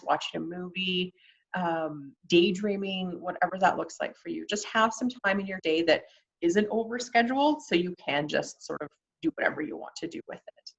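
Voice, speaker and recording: Casting: female workshop presenter, Canadian accent, encouraging and conversational, on the lower side, muffled lo-fi sound. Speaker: workshop presenter on mental health. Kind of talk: informal online workshop presentation.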